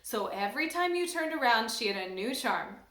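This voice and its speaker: A high-voiced woman reads a children's chapter book aloud with animated expression. The echo suggests a roomy space. She reads as a member of a church staff. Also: moderate pace. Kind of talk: storybook read-aloud.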